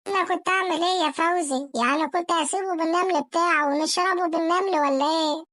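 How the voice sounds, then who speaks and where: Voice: very high voice, steady tone, fast talker, sharp tone, no echo, studio quality. A performer voicing a casual, defensive cartoon housewife with an Egyptian accent, answering back nonchalantly in a comedy sketch. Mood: placating. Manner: nonchalant, back-talking, placating.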